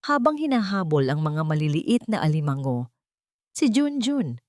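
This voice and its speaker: A woman's voice: on the low side, a little mellow, no echo, studio quality. A woman narrating a scripted dramatic story in a solemn, sentimental manner.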